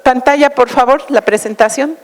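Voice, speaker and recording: A woman's voice: sits high, normal speed, in a large hall. A woman moderator formally introducing a guest speaker, reading out a list of titles. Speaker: event moderator.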